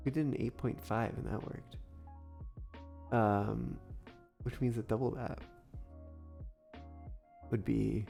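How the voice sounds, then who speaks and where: A man streams a train-building game, thinking out loud in an unhurried, soothing voice while he recovers from a recent illness. His tone is mellow, with a gravelly edge, and faint music plays beneath him. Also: mid-range voice. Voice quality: soft, soothing. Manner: slow, musing, thinks aloud through sums, greets chat viewers. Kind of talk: casual live-stream commentary while building a model railway in a game. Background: soft background music.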